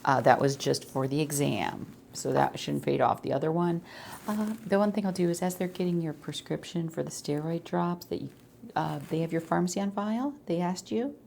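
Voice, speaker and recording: A woman speaks in a soft ASMR roleplay style as an eye doctor. Her voice sits a little low and has a strong creak. She explains things in a reassuring, conversational manner, with faint noise behind her. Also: normal speed. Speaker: ASMR creator role-playing an eye doctor.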